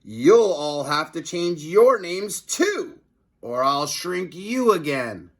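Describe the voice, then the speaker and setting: A man's voice: on the high side, big pitch swings, slow pace, pitched above the performer's own voice, full character voice. A man voicing a gloating, bossy mad professor villain with a commanding, mockingly gleeful manner. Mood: mocking menace.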